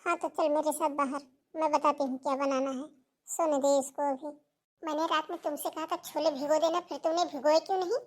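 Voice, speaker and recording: A woman's voice: high voice, steady tone, brisk, close to an everyday voice. A woman playing a scheming, scornful older mother who scolds and taunts in a Hindi cartoon moral story. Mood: scornful scolding.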